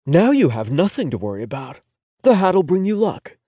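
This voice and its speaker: A gentle, doting boyfriend with a soft, reassuring voice, as a male narrator plays him. His lines lift a little above the narration, with a lively swing. The sound is close and dry. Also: on the high side, normal speed, husky, no echo, voice pushed up. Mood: tender. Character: gentle, indulgent young boyfriend.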